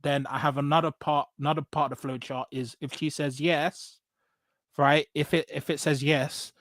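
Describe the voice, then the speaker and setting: A man's voice: fairly high pitch, level tone, moderate pace, a bit bright. A man from England with an English accent telling personal stories casually as a guest, blunt and emphatic, repeating phrases and often checking in with "right?". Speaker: podcast guest. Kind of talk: casual personal storytelling in conversation.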